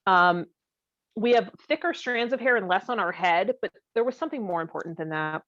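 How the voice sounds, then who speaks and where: A woman's voice: medium pitch, lively intonation, brisk, a bit bright, no echo. A woman co-hosting a podcast in casual, comedic conversation, with a joking, bantering way of talking. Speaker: podcast co-host. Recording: dull, boxy, dry lo-fi audio.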